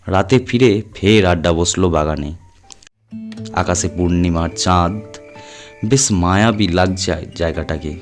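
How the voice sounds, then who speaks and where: A man's voice: low voice, a little slow, pauses often, faint music behind. A man narrating a scripted suspense story as an audio storyteller, in a dramatic reading style.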